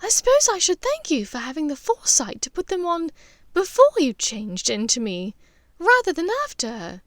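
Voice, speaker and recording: Upper-class witch mother, audiobook, woman reader acting: high, haughty, crisp, amused, exclamatory. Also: wildly swooping pitch, moderate pace, no echo, clean studio sound. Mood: dryly teasing.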